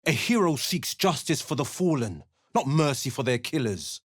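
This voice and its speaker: Game role: righteous young male hero; earnest, lively delivery, dry close sound. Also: medium pitch, normal speed, husky, no echo. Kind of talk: video game character lines.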